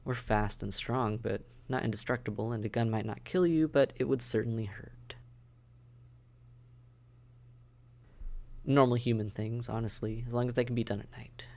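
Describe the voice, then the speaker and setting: A man's voice: medium pitch, fairly even intonation, a little fast, creaky, hardly any pauses, darkish tone, lightly acted. A man acting a friendly, dry-witted vampire caseworker in a conversational audio roleplay, wry, understated and matter-of-fact. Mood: easygoing, friendly. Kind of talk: conversational audio roleplay, one side of a dialogue.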